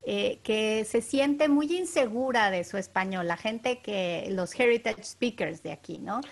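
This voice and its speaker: A woman hosting an interview, talking in an expressive, animated way and asking follow-up questions. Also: mid-range voice, measured pace, vocal fry. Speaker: interviewer and program host. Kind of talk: enthusiastic interview conversation.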